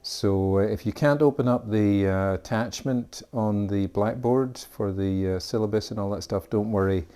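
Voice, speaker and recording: A man's voice: lowish voice, normal speed, hardly any pauses, mellow. A man with a Scottish accent, an art teacher giving a friendly, rambling introduction to his beginners class. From Scotland. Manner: friendly, rambling, with fillers and asides.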